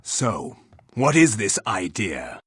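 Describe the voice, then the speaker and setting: An actor playing a blunt, haughty fantasy warrior in a video game, speaking in stiff, formal, deliberate diction. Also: male voice, fairly low pitch, wildly swooping pitch, a bit bright, slightly rough, dry recording, studio quality, exaggerated voice. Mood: dry, curt. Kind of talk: video game character acting.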